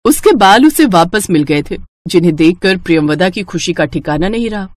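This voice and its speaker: A woman's voice: on the low side, expressive, moderate pace. A woman narrating a scripted moral story as a storyteller.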